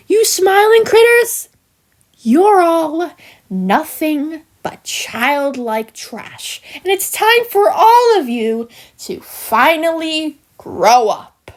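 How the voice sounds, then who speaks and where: A woman voicing a smug, villainous cartoon sheep creature who taunts in a theatrical, mocking manner with drawn-out words and hesitant asides. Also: very high voice, darkish tone, full character voice. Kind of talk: scripted character monologue for a fan voice-over.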